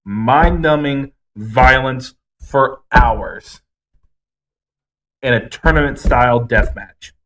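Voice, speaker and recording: A man's voice: mid-range voice, slow pace, fairly bright tone, slight background noise, low-quality recording. A man co-hosting a podcast, giving blunt, emphatic opinions in casual chat with some swearing. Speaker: podcast co-host. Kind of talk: casual ranting movie review chat.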